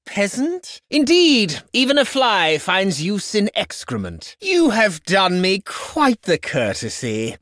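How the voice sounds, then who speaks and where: Snobby medieval nobleman, pompous, contemptuous, sharp bright tone, dry close sound, video game barks. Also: sits high, no room sound, full character voice. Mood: grudging, self-satisfied. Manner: pompous, sneering, ornate archaic diction. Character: haughty, contemptuous medieval nobleman lording over peasants. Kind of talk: video game voice lines, mock-archaic battle barks.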